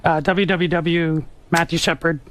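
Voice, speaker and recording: A man's voice: on the high side, steady tone, measured pace, a bit bright. A man talking casually in a radio studio, telling his stories earnestly. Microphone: studio radio mic.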